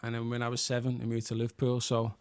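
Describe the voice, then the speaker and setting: A man's voice: medium pitch, monotone, fast, no room sound. A man with a Scouse accent, a guest musician and worship leader, talking earnestly and reflectively in a casual chat, leaning on fillers like "like". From England.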